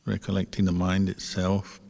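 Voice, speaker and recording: A man, a Buddhist monk, guiding a meditation calmly and without hurry, with a husky voice and long pauses between short phrases. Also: low voice. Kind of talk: guided meditation instruction. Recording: reverberant hall, long echo.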